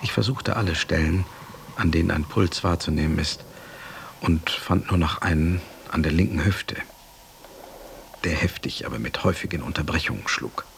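A sober companion telling a solemn radio story. The voice is low and creaky, with a grave, measured delivery. A faint noise sits behind it. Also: male voice. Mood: grave, restrained. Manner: measured, grave, hushed at phrase ends. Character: sober male companion recounting a master's death. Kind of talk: literary radio play narration.

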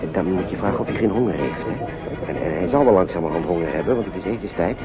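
A man's voice: deep voice, lively intonation, rapid-fire. A man playing a worried, kindly English uncle shepherding young children in a radio drama, hesitant and repeating himself as he fusses. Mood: hushed, anxious. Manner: hesitant, repetitive, fussing gently over children. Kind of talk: naturalistic radio drama acting. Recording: dull, noisy old radio play recording.

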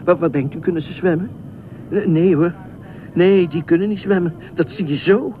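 An actor in a Dutch radio play as an anxious, obsessive widowed ex-fireman who speaks haltingly, repeats himself and nitpicks. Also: natural voice. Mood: agitated, anxious. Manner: halting, repetitive, pedantic, fretful. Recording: muffled narrow-band old radio recording, faint rumble.

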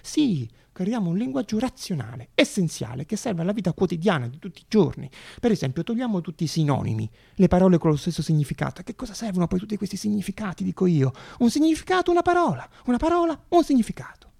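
An idealistic young inventor acted by a man in a theatrical radio monologue, feverishly reasoning with himself. The voice is husky and fairly high for a man, with a dark edge. He keeps questioning and correcting himself in an agitated way. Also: sing-song, quick pace, few pauses, no room sound, clean studio sound, full character voice. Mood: excited, fretful.

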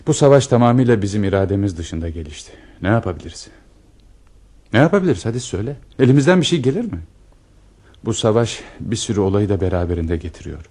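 A man's voice: lowish voice, expressive, fast, warm tone. A man playing an ardent, intense officer in earnest, declamatory radio theater style. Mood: insistent, protective. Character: lovestruck, intense male officer in a wartime romance.

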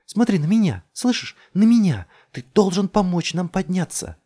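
A man voicing a desperate, commanding woman who urgently shouts at others and insists they act. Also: high-pitched, sing-song, moderate pace, breathy edge, pitched above the performer's own voice, full character voice. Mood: desperate, insistent.

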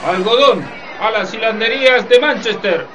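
A man plays an imperious merchant in a radio play. He calls out urgently in a very high male voice, set back from the mic amid clear noise. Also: normal speed, pushed and bright, a faint crowd. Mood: commanding. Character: commanding English merchant barking dockside cargo orders. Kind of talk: community radio play acting. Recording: distant mic amid noisy ambience.

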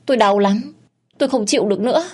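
A woman's voice: on the high side, fast, mellow, husky, pitched above the performer's own voice. A woman voicing a cowardly cheating husband begging his wife to stop beating him. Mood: pleading.

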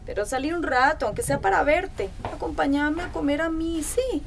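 A woman's voice: sits high, normal speed, vocal fry, slight background noise. A woman with a Costa Rican accent playing a worried, coaxing, affectionate mother in a radio soap opera.

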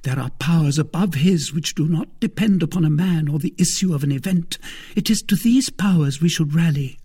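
A man voicing an indignant, sharp-tongued young wife in a period novel, scolding and haughty in an exclamatory way. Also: on the high side, brisk, slightly rough, voice pushed up. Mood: urgent, insistent.

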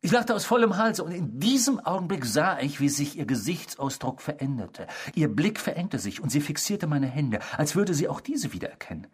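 Husky, eerie obsessive storyteller. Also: male voice, a little high, very animated, moderate pace, hardly any pauses, full character voice. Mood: smug, intimate. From the Netherlands (character). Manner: theatrical, savouring, unrepentant, mimicking the people quoted. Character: eerie, obsessive male stranger confessing a past crime. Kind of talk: radio drama dialogue, first-person storytelling.

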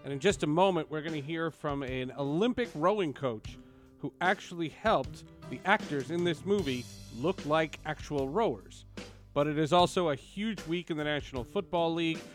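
A man's voice: on the high side, moderate pace. A man hosting a show, presenting segments in a scripted broadcast style. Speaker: radio show host. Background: faint music.

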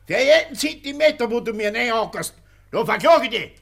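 High, creaky, furious Bavarian farmer. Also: male voice, animated, a little fast, a bit bright, full character voice. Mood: furious, threatening. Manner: indignant, accusing, threatening. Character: hot-tempered, quarrelsome male farmer. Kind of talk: radio play dialogue in dialect.

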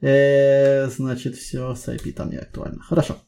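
A man's voice: mid-range voice, monotone, moderate pace, vocal fry, dark tone. A man conducting a spontaneous technical job interview, asking probing questions one step at a time.

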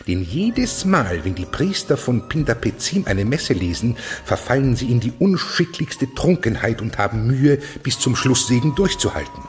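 An actor playing a fanatical, haughty eunuch official in a radio play, declaiming and preaching with contempt. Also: male voice, on the low side, natural voice. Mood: haughty regret. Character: fanatical, haughty male eunuch official ruling over monsters. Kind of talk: German radio play acting.

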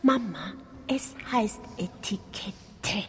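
Fed-up sharp-tongued daughter, hissy rhyming radio play, swoopy pitch, big reverb. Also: female voice, expressive, extremely slow. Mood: correcting. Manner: hissing, scolding. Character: exasperated, sharp-tongued daughter at her grandfather's funeral. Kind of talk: rhymed radio drama dialogue. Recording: long hall reverb, clear ambient noise.

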